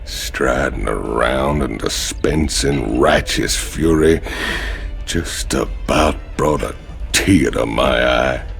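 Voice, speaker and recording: An actor playing a big, swaggering outlaw marine in a video game, gravelly. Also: male voice, very deep voice, slow, a bit bright, faint music behind, heavily acted. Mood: amused, boastful. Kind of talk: dramatic video game acting.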